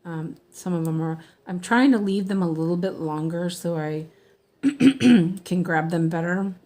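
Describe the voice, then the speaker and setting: Slow, low-voiced woman, slightly hoarse, chatty and rambling, casual home stitch-along host. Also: mellow. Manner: chatty, rambling, many ums and self-corrections. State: hoarse from allergies. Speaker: cross-stitch streamer.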